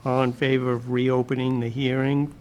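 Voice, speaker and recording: A man chairing a town commission meeting, speaking slowly with many pauses and fillers. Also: medium pitch, flat delivery, darkish tone, a little hiss or hum, poor audio. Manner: slow, hesitant with many fillers. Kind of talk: public meeting.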